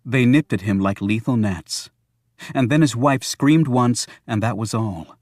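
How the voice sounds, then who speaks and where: A man's voice: medium pitch, unhurried, darkish tone, no room sound, studio quality. A man narrating a thriller novel as a scripted audiobook.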